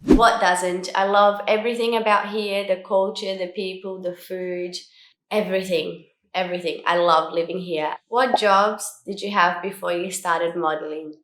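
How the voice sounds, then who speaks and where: A woman's voice: lowish voice, steady tone, moderate pace, a bit bright. Young Australian-accented woman in an echoey room. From Brazil, moved to Australia at twelve. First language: Portuguese. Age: 20s. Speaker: vlogger. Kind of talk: casual Q&A chat to camera.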